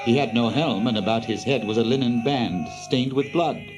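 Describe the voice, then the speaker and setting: A man's voice: mid-range voice, moderate pace, over music. A man giving solemn, theatrical scripted narration of a dramatic story.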